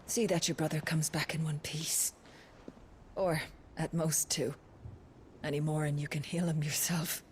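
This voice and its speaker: A wry fantasy-game physician who scolds because she cares. Her voice is slightly low and dry, stern in tone. There is faint ambient noise. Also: little pitch movement, measured pace. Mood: dryly scolding. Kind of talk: video game cutscene acting.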